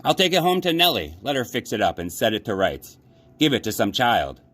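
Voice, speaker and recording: A man's voice: mid-range voice, big pitch swings, a little fast, a bit bright, pitched above the performer's own voice. A man voicing a grizzled, kindly old fisherman in a storybook, with a folksy, rustic, plainspoken manner. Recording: clear background noise.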